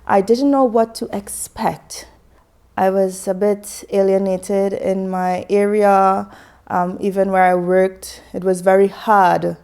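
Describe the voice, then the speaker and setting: A woman's voice: medium pitch, level tone, slow, darkish tone, smooth. A woman from Trinidad and Tobago, answering interview questions candidly.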